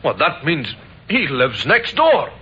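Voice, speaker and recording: Dutiful police sergeant, muffled recording. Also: male voice, fairly high pitch, huge pitch swings, takes it slowly, exaggerated voice. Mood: matter-of-fact. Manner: plain, deferential, clipped reporting. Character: dutiful, respectful British police sergeant, matter-of-fact. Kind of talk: old-time radio crime drama acting. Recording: muffled, noisy old radio recording.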